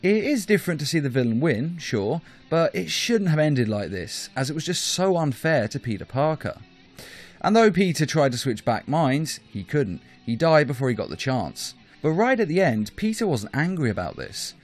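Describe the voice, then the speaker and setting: A man's voice: fairly high pitch, very animated, moderate pace. A man hosting a countdown video, reading scripted commentary in an emphatic, explanatory way. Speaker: host of a top-five countdown video. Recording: music behind the voice.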